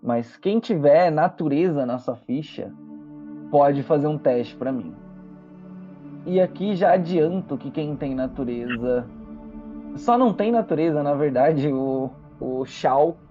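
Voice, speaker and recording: Mellow, muffled Brazilian game master over music. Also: mid-range voice, lively intonation, slow pace. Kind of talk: narrating a tabletop roleplaying game.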